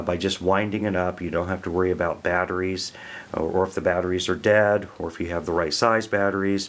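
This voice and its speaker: An American man giving a casual product review, explaining things in a plain, unhurried way. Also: low voice. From American Midwest.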